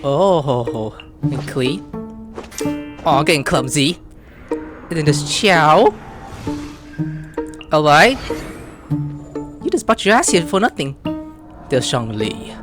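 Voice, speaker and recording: A gaming host with an extremely animated voice that leaps from low mutters to high squeals. The delivery is slangy, exclamatory and playful, fairly unhurried overall, as casual gameplay commentary. Game music plays clearly behind the voice.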